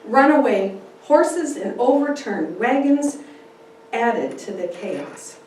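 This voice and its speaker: A woman reads a story theatrically, taking her time and swinging her pitch expressively. She is heard from a distance in a strongly reverberant room. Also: lively intonation, a little mellow. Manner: theatrical, deliberate. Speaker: speaker giving a dramatic reading. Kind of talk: dramatic first-person story narration. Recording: echoey room, distant mic, faint noise.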